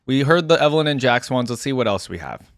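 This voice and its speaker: A man's voice: medium pitch, lively intonation, quick pace, clear, resonant tone, no room sound, clean studio sound. A man hosting a reaction video, giving casual, musing commentary on game voice lines in a conversational way.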